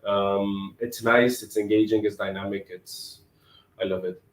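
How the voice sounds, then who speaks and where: A man in his twenties from Romania, answering interview questions in a relaxed, thoughtful way and often repeating words as he thinks. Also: on the low side, a little slow, roomy sound. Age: 20s.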